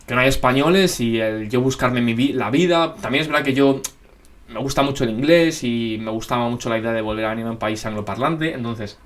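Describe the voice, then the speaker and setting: A young man with a Madrid accent talks at a rapid clip as he hosts his own channel. His pitch sits in the middle range, and his delivery is loose and rambling, full of filler tags and restarts. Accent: Madrid Spanish. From Spain. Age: young adult. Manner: rambling, lots of filler tags and self-corrections. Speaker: basketball channel host. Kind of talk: casual Q&A monologue.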